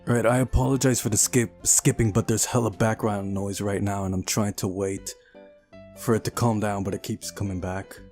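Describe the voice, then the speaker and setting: A man with a fairly low voice hosts a game playthrough. He reads story narration aloud and slips into casual commentary. Light music sits behind his voice. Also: level tone, moderate pace, darkish tone. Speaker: let's play host. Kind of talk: visual novel read-aloud with casual commentary.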